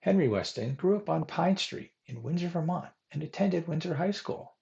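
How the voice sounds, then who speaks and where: A man presents scripted historical narration in a formal, read-aloud way. His pitch moves animatedly up and down across phrases. A noticeable creak gives the voice a gravelly edge. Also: expressive, normal speed, a little mellow, highs cut off. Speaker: presenter reading a biographical history.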